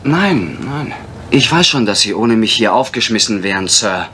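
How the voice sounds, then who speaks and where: A man's voice: fairly low pitch, lively intonation, moderate pace, pushed and bright. A man playing a dreamy, cheeky, enthusiastic young assistant in a German radio crime drama. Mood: swooning, enthusiastic. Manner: enthusiastic, theatrical. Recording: slightly noisy old radio play recording.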